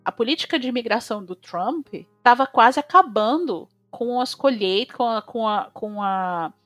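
Animated Brazilian woman chef, opinionated. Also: on the high side, moderate pace, dry recording, faint music behind. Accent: Brazilian Portuguese. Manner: animated, opinionated, rising sharply when emphatic. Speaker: chef, guest. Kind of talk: casual conversation.

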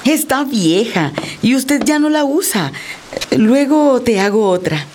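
A woman's voice: a little high, sing-song, slow pace, darkish tone. A woman playing a compassionate, stubborn wife in a radio play, speaking in an earnest, defiant and impassioned way. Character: Ruth, compassionate, stubborn wife defending displaced people. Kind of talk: radio theatre acting. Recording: faint noise, rain behind.

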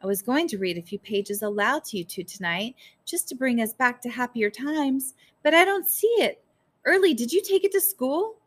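A woman's voice: on the high side, normal speed, few pauses, fairly bright tone. A woman voicing a despairing young mother in an audiobook, emotional and fraying. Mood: frantic.

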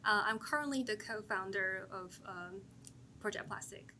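Hesitant bright-voiced woman from China. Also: mid-range voice, normal speed, a little hiss or hum. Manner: hesitant, brief, many fillers. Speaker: startup co-founder. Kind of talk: interview answers.

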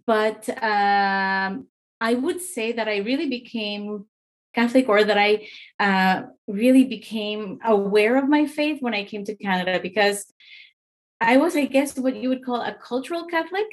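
A woman's voice: medium pitch, unhurried. A woman in her forties from Mexico, a podcast guest speaking frankly and reflectively about her faith. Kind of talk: casual personal testimony.

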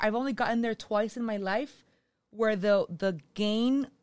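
A woman's voice: medium pitch, dry recording. A woman in her mid-thirties co-hosting a podcast, telling stories in chatty, laughing talk. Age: 30s. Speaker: podcast co-host. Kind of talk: casual chat.

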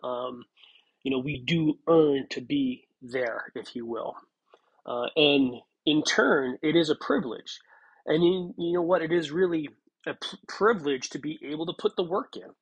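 Husky man with a stuffy cold. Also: a little high, lively intonation, moderate pace, poor audio. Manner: reflective, rambling, many fillers and restarts. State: stuffy from a cold, clears throat. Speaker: podcast host. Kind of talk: casual solo podcast monologue.

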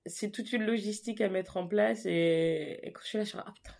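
A woman's voice: mid-range voice, a little fast, vocal fry, a little mellow. A woman hosting a casual book chat, talking in a slangy, chatty way with many fillers and restarts. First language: French. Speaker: podcast host.

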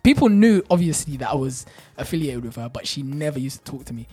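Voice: mid-range voice, fast talker, breathy edge. Slangy young storyteller. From Jamaica. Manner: animated storyteller, laughs a lot, slangy. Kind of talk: casual chat.

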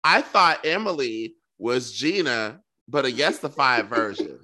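A man's voice: medium pitch, lively intonation, unhurried, pushed and bright. A man co-hosting a casual, gossipy chat show, talking in sassy, campy slang. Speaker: podcast co-host. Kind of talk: casual gossipy chat about reality television.

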